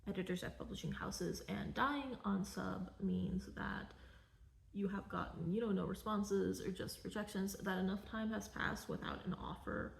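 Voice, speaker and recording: A young woman from Venezuela, a cartoonist, talking in a soft-spoken, rambling, candid way in a casual personal vlog. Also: mid-range voice, steady tone, normal speed, gravelly. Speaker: vlogger, cartoonist. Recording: echoey room.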